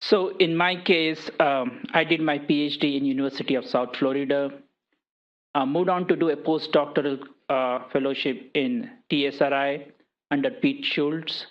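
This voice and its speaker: A man's voice: a little high, fairly even intonation, moderate pace. A man, a chemist, telling his career path on a panel, a little hesitant. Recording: dull lo-fi room recording.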